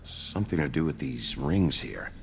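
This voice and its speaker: An actor playing a gruff, brooding detective in a video game, speaking in a terse, deadpan way with a gravelly voice. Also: male voice, normal speed, a little hiss or hum, exaggerated voice. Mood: matter-of-fact. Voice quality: very deep, gravelly. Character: gruff, brooding male detective with a keen nose. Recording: loud music under the voice.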